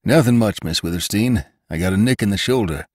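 A man's voice: on the low side, fairly quick, no echo, clean studio sound, natural voice. A man voicing a loyal, earnest cowboy rider in a western audiobook, with plainspoken, folksy phrasing. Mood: matter-of-fact. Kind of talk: audiobook character acting.

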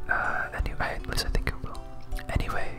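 Someone whispering softly and breathily in hesitant, rambling, self-deprecating ASMR commentary while playing a game. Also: brisk, over music. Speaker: host, game streamer.

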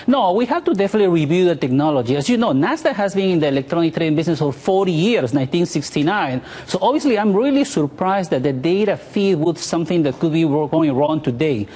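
Guy in his 40s, kinda high voice, giving market commentary on live tv news. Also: lively intonation, quick pace, hardly any pauses, a little mellow, slightly rough. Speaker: author and market commentator guest.

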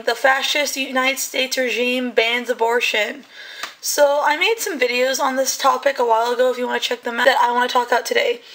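A woman hosting her channel, giving heated, rambling commentary in a hoarse voice that is still coming back. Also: sits high, pushed and bright. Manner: heated, rambling, many fillers. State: hoarse, voice recovering. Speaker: channel host. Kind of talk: casual commentary.

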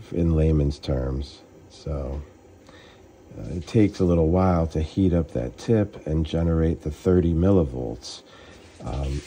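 A man working as a heating technician, explaining a furnace repair step by step in a relaxed, plain-spoken way, recorded on a handheld phone beside a gas furnace. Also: sits low, a little hiss or hum. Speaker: HVAC technician. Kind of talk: casual how-to explanation. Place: utility room at a gas furnace.

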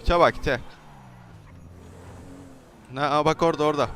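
A man's voice: on the high side, fairly even intonation, moderate pace, a bit bright. A young man doing joking, slangy, mock-polite banter in improvised video game roleplay. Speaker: gaming video creator.

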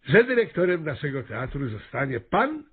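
Pompous small-town mayor, swooping speech. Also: male voice, a little high, very animated, breathy edge, narrow, tinny audio, heavily acted. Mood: pompous, official. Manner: pompous, oratorical, hesitant pauses. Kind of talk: radio comedy drama acting.

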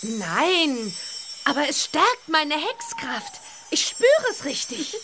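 A woman's voice: high voice, sing-song, slow pace, music in the background, full character voice. A woman playing a cheerful, confident young witch girl in a children's audio drama, bossy and playful. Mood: amazed.

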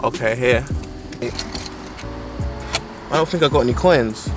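A man's voice: mid-range voice, unhurried. A young man with a London accent, chatting and joking on a casual vlog with his girlfriend. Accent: London English. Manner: joking, slangy banter. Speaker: vlogger. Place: car and city streets. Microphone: handheld camera. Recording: loud background music.

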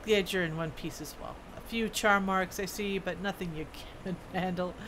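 A woman's voice: on the low side, moderate pace, noisy background, pitched below the performer's own voice, natural voice. A woman voicing a proud, folksy old male dwarf blacksmith from a fantasy game. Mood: hearty. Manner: folksy, proud, reading scripted dialogue.

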